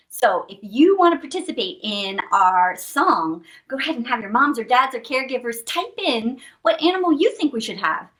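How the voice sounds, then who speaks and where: A woman hosting children's storytime, reading expressively and playfully, putting questions to young listeners. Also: high voice. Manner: expressive, playful, asks listeners questions and adds sound effects. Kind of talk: animated picture-book read-aloud for young children.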